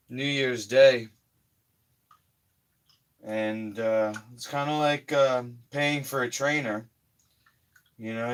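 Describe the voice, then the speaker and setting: A hoarse man talking casually in a slow, rambling health vlog, often trailing off quietly. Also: lowish voice. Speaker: health vlogger.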